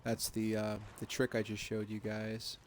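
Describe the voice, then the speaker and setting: A man in his thirties quietly talking through a game at home at night, half-whispering, relaxed and self-deprecating. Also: on the low side, normal speed, slight background noise. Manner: hushed, half-whispered talk. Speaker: gaming streamer. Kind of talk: casual let's-play commentary.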